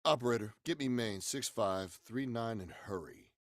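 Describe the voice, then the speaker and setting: An actor playing a bewildered, impatient gentleman in 1940s radio horror style, questioning everything in an exasperated, emphatic way. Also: mid-range voice, moderate pace, no echo, clean studio sound, close to an everyday voice.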